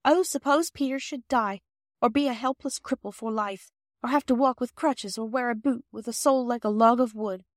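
A woman's voice: on the high side, normal speed, no room sound, clean studio sound, voice pushed up, natural voice. Woman reading as an earnest fretting girl. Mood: fretful. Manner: earnest and fretful. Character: anxious, earnest young girl.

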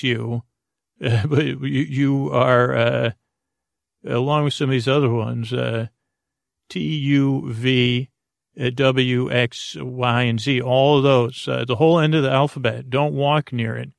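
A man hosting a bedtime podcast in a gravelly voice, rambling through an improvised monologue that halts and circles back on itself. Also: mid-range voice, no echo, clean studio sound. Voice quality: gravelly, creaky. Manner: meandering, halting, repeats and circles back on words. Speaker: podcast host.

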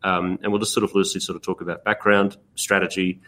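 A very deep-voiced Australian man hosts with a relaxed, jokey ramble. He trips over words and wanders off topic, then laughs it off. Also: fast talker. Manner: jokey, rambling, self-interrupting. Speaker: podcast host. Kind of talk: casual podcast hosting.

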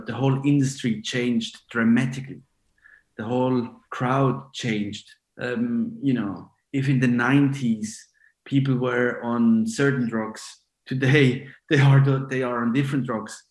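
A forty-year-old man from Switzerland chats loosely as an interview guest, circling his point with fillers and false starts. His voice sits at an ordinary middle pitch. The room around him rings with noticeable echo. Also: steady tone, unhurried, darkish tone. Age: 40s. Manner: rambling, many fillers and restarts. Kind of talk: casual interview chat.

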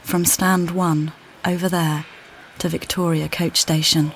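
A weary displaced mother, low-voiced. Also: measured pace, slight background noise, poor audio. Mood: reflective. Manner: calm, plainspoken, addresses listener directly. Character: displaced mother, weary and uncertain, far from home. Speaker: audio guide narrator. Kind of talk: immersive walking-play narration.

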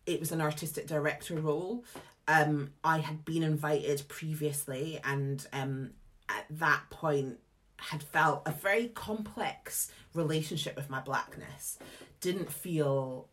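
A Scottish woman in her thirties with a low voice tells her career story to interviewers. She is frank and lively, full of casual asides and quick restarts. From Scotland. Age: 30s. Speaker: guest, theatre artistic director. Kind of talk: candid conversational storytelling.

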